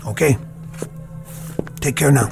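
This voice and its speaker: An actor playing a weary army lieutenant at a wartime hospital, halting and reassuring over hidden dread, in a radio drama. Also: male voice, medium pitch, creaky. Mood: forced calm. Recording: dramatic music behind the voice.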